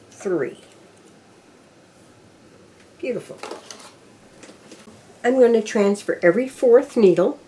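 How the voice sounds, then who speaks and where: A woman's voice: on the low side, a little mellow, slight background noise. Older woman talking through her knitting. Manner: talks to herself while working, counting rows aloud. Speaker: knitting instructor. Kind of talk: casual step-by-step craft demonstration.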